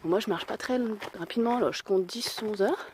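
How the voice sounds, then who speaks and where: A young woman talks to a handheld camera as she hikes a mountain trail, her voice hoarse from a cold and a little winded. Her tone is mellow and she thinks aloud with easy self-deprecation. Faint outdoor sound sits behind her. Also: medium pitch, a little fast, slight background noise. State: out of breath. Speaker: hiker vlogging a mountain trail. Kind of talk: casual spoken travel vlog.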